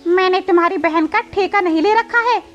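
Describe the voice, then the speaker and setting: A woman voicing a sharp-tongued, unwelcoming bird wife who scolds, in a cartoon. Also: extremely high pitch, moderate pace, highs cut off, faint music behind, voice pushed up. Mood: irritated.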